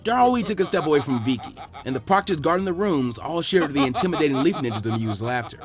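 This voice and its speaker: Animated, higher-pitched male story narrator. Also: quick pace, over music. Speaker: fan fiction narrator. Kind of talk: expressive scripted story narration.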